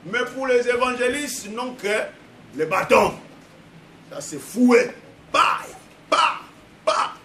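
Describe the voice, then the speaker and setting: A man delivers an impassioned sermon, his voice sitting extremely high for a man. He shouts and hammers phrases over and over. The sound is echoey and distant, with noise underneath. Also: lively intonation, slow, long pauses. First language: Portuguese. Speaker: pastor. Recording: echoey room, distant mic, background noise.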